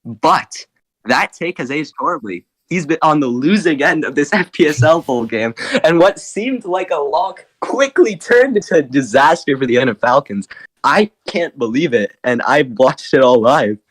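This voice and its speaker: A hoarse young man doing excited post-game commentary, laughing often and breaking into shouts. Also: a little high, moderate pace. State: hoarse, lost voice.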